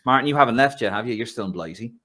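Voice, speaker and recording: A man from Ireland hosting a casual football podcast over a video call, an animated storyteller. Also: mid-range voice, rapid-fire, slight background noise. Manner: animated storyteller, acts out voices. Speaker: podcast host. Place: home in London.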